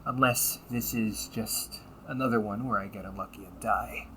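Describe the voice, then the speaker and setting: A man voicing a cunning, condescending villain and older brother in an audio roleplay, taunting and theatrical, often dropping toward breathy near-whispers. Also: lowish voice, normal speed, fairly bright tone, slight background noise, close to an everyday voice. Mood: smug, exasperated. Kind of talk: audio roleplay monologue addressed to the listener.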